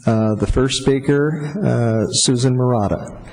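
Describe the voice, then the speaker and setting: A man chairing a council meeting, formal and procedural, a little halting as he calls speakers. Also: medium pitch, vocal fry, darkish tone. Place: council chamber. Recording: long hall reverb.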